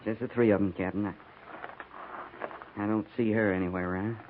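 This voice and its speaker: An actor playing a plain, loyal, soft-hearted frontier army sergeant in a 1950s radio western, speaking in a folksy, terse, respectful way. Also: male voice, on the low side, steady tone, a little fast, lightly acted. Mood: low, grim. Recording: hissy 1950s radio recording, narrow band.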